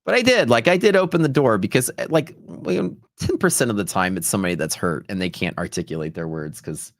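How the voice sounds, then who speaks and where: Deep-voiced man chatting on a livestream. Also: animated, fairly quick, no echo, studio quality. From Texas, United States. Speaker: livestream host, futures trader. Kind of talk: casual livestream chat, storytelling.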